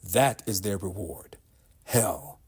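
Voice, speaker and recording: A man with a slightly husky voice giving a solemn, formal reading of scripture in measured, declamatory phrases. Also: fairly low pitch. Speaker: reader of scripture. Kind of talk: solemn scripture recitation in English translation.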